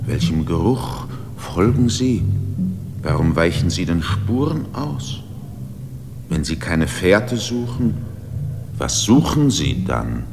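A man voicing an ancient, brooding mountain spirit that is hostile to humans, speaking slowly and gravely and often in a whisper, in a radio play. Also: very animated, exaggerated voice. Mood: menacing. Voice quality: deep gravelly voice. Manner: slow, grave, partly whispered. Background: music.

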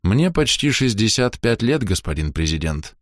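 A man voicing a courteous, wistful scientist in his sixties in an audiobook, with a measured, formal manner. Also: fairly low pitch, very animated, dry recording, clean studio sound, pitched above the performer's own voice. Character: wistful, courteous scientist of nearly sixty-five, male.

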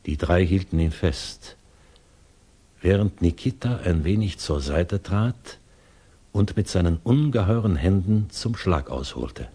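Solemn narrator in old german radio drama, super low husky voice, slow n measured. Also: male voice, animated, dark tone, exaggerated voice. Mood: grave, measured. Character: grave male storyteller recounting a grim tale.

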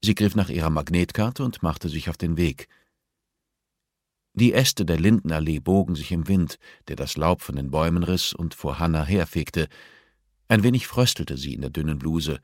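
A man's voice: extremely low pitch, expressive, normal speed, dry recording, clean studio sound. A man reading a novel as scripted audiobook narration.

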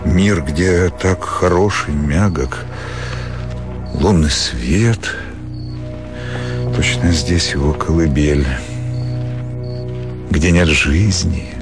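An actor playing a respectable, intelligent country doctor musing to himself in a radio drama, with reflective pauses. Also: male voice, extremely low pitch, over music, full character voice. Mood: hushed awe. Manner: reflective interior monologue with drawn-out pauses.

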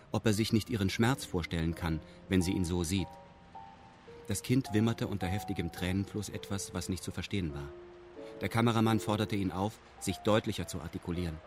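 An actor playing a detached first-person narrator in a radio drama, speaking in formal, pedantic, deadpan prose. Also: male voice, lowish voice, natural voice. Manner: formal, deadpan, stilted indirect speech.

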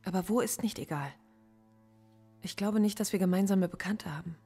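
An actress playing a cool, defiant philosophy professor under interrogation in a naturalistic radio drama, measured and dryly cutting. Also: female voice, mid-range voice, warm tone, breathy edge, faint music behind. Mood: guarded.

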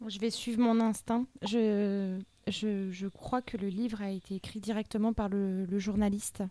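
A woman plays along in a podcast quiz. Her voice sits at a middle pitch, and she speaks softly, mostly in short replies. Casual and easygoing. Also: little pitch movement, a little slow, no echo. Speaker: quiz contestant.

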